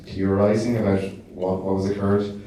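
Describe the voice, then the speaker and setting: A man's voice: deep voice, little pitch movement, moderate pace, mellow. A man, a documentary director, answers audience questions at a festival screening, hesitant and full of fillers and restarts. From Ireland. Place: screening hall. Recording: distant echoey hall, faint noise.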